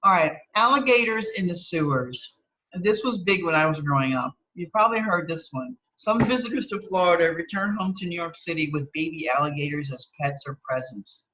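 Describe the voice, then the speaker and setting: A woman's voice: fairly low pitch, lively intonation, moderate pace, a bit bright, rough recording. A woman reading an article aloud as a teacher, breaking off for plain explanatory asides and checking that listeners follow. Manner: instructive, checks understanding with tags like okay and right.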